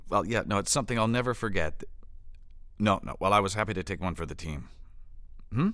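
A nervously chipper event organizer, stammers, way too polite, voice jumps all over, audio drama comedy. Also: male voice, deep voice, lively intonation, brisk, fairly bright tone, no room sound, clean studio sound. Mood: gushing. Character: flustered, over-polite male event organizer hiding exasperation. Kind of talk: satirical audio drama acting.

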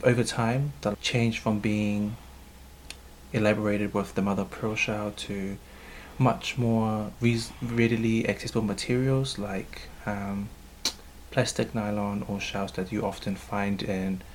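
New man, cultural leader, fairly low voice, measured and hesitant with ums, faint room hum behind. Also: flat delivery. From New Zealand. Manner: thoughtful, hesitant, frequent um fillers. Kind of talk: interview answers.

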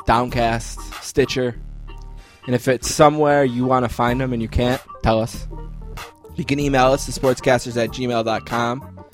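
A man hosting a podcast, reading out plugs and chatting casually. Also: mid-range voice. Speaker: podcast host. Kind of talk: podcast sign-off and casual chat.

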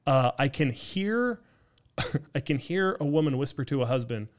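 A man's voice: medium pitch, moderate pace. An American man chatting casually as a comedian guest, telling anecdotes, acting out what people said and swearing freely. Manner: animated storyteller, acts out quotes, swears freely. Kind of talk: casual anecdotal podcast chat.

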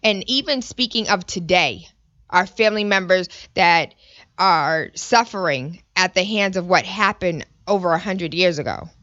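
A woman hosting a morning radio show, interviewing a guest with emphatic, drawn-out phrasing. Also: fairly low pitch, expressive, a bit bright, no room sound, lo-fi sound.

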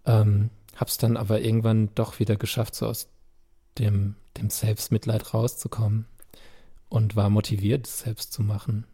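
Laid-back male musician guest, low gravelly voice, dark and husky, reflective casual interview tone. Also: completely flat delivery, moderate pace. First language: German. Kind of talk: candid casual interview talk.

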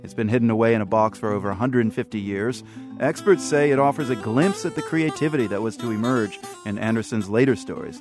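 A man's voice: mid-range voice, darkish tone. A man presenting a radio magazine programme and interviewing guests as its host.

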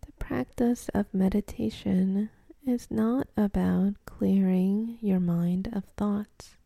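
A woman leading a guided meditation, speaking softly and breathily with gentle, unhurried instructions and pauses. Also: mid-range voice, fairly even intonation, vocal fry, dry recording.

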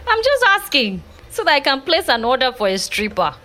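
Bright, sassy Nigerian bride-to-be. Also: female voice, sits high, sing-song, a little fast, heavily acted. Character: sassy, cheeky bride-to-be best friend. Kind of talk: gossipy audio drama dialogue.

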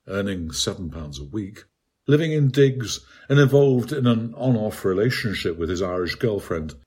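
A man reads his own literary essay aloud as scripted narration, in a measured, articulate and bookish manner. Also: low-pitched, darkish tone, breathy edge. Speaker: author reading own article.

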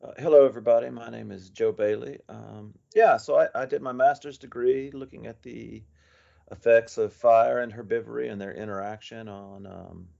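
A man's voice: mid-range voice, fairly even intonation, moderate pace, gravelly, a little mellow, muffled recording. A man speaking conversationally as a guest researcher on a podcast.

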